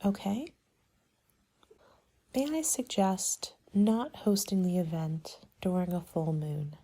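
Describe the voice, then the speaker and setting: A woman performs a soft-spoken ASMR roleplay with a dark, relaxed tone and a breathy, husky edge. She talks in a polite, measured, businesslike way, like a consultant taking a client through options. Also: lowish voice. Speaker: party planning agent in an ASMR roleplay.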